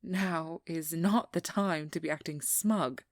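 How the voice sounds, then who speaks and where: A woman playing a determined, exasperated vampire hunter with a guarded caring side, speaking softly and often in whispers in an intimate ASMR audio roleplay. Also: low-pitched, slow, no echo, studio quality. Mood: stern. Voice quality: soft, breathy, often whispered.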